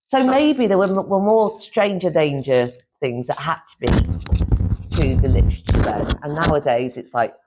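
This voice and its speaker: A middle-aged woman from Yorkshire with a low voice that swoops up and down a lot. She talks casually and at length, circling back and restarting her sentences, with laughter in between. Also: lively intonation, normal speed, mellow, a little hiss or hum, low-quality recording. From Yorkshire, England. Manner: chatty, rambling, laughs often, many restarts. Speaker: interview guest, former publisher and teacher. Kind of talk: casual chat.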